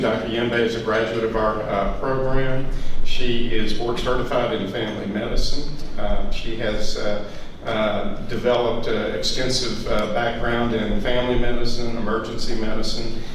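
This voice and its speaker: Guy introducing the speaker at a graduation, lots of uhs, super echoey hall, far off, noisy. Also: mid-range voice. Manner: halting, many filler sounds. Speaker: residency faculty introducing the speaker. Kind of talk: ceremony introduction speech. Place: large hall.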